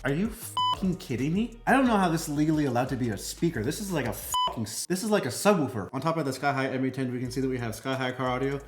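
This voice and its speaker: A man's voice: medium pitch, expressive, quick pace, few pauses. A man hosting a product unboxing in an emphatic, casual, punchy style, like a hyped channel presenter. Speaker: product review channel host. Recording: roomy echo, faint music behind.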